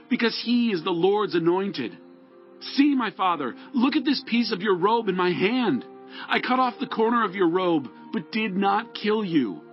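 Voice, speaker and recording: A man playing a young, earnest, devout outlaw warrior who pleads in a declamatory way in a dramatized scripture recording. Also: high voice. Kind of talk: dramatized scripture audio acting. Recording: dull lo-fi audio with music.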